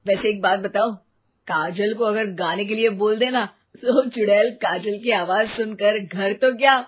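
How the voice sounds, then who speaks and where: A woman voicing a casual, sceptical grown-up son in an animated moral story. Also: medium pitch, measured pace, slightly rough. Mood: dismissive, teasing. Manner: casual, dismissive. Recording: narrow phone-like sound, faint noise.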